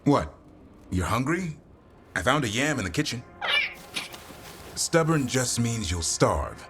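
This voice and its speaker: An actor playing a gruff but caring creature keeper with a wry, grumbling manner in a video game. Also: male voice, medium pitch, very animated, slow, music in the background. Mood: coaxing grumble.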